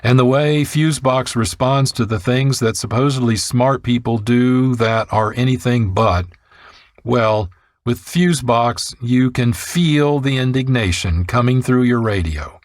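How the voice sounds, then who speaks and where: A man's voice: medium pitch, unhurried, dry recording, studio quality. A man reading scripted narration as a radio producer and host.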